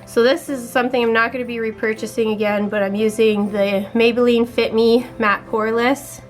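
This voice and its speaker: A young woman chatting casually while doing her makeup, her voice a little raspy, walking through each step in a relaxed way. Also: a little high, a little fast, soft background music, poor audio. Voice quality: slightly raspy. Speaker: beauty vlogger.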